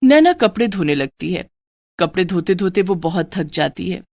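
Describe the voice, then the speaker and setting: A woman's voice: fairly low pitch, lively intonation, moderate pace, darkish tone, dry recording. A woman narrator reading a scripted moral story in a storytelling style.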